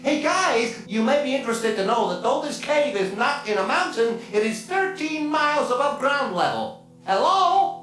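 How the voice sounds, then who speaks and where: An over-friendly shipboard computer in a radio comedy. It chatters in a high, sing-song, breezy voice, sounding distant and echoey with music playing loudly. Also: lively intonation, normal speed. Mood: breezy, musing. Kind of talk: sci-fi radio comedy acting.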